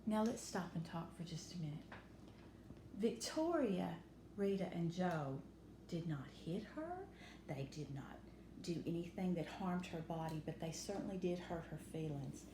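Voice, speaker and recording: A woman reading a picture book aloud to children in an expressive, instructive teacher's manner, dwelling on key words. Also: lowish voice, measured pace. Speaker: storytime reader and teacher. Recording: roomy echo with faint background noise.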